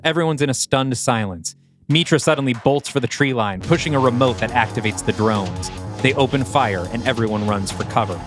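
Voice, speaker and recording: A man narrates a scripted audio play, setting scenes and action in present tense. His voice sits at a medium pitch. Music plays clearly underneath him. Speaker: narrator reading stage directions.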